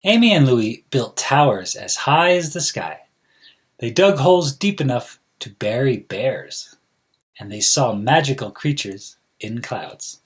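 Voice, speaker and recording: Male storyteller for young kids, slow and deliberate, with lively melodic swings and a direct, teacherly address. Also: medium pitch, slightly rough. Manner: addresses children directly, deliberate, animated phrasing. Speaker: school counselor reading a picture book to children. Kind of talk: expressive children's read-aloud.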